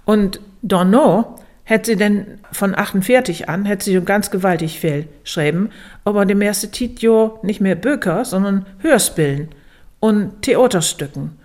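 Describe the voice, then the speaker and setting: A woman with a northern Low German accent, explaining informally as an expert in an interview. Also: a little slow, darkish tone.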